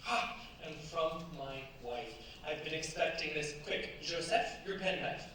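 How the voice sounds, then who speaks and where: A well-bred, devoted young husband played by a man in a staged radio play. His voice is slightly high and theatrical, recorded at a distance with strong echo and clear background noise. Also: a little slow, sharp tone. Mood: eager, fond. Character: doting, eager French businessman, young master. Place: stage at a cultural centre.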